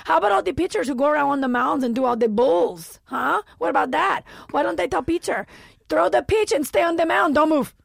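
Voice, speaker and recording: A woman voicing an excitable, blunt male ballplayer in a put-on Dominican Spanish accent, loud and emphatic. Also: high-pitched, a little fast, creaky, no echo, studio quality, pitched above the performer's own voice, heavily acted. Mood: indignant.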